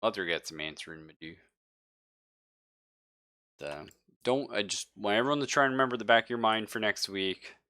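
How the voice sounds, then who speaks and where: A man's voice: on the low side, normal speed, pauses often, sharp tone. A man casually wrapping up a tabletop game session as game master, slangy and playful.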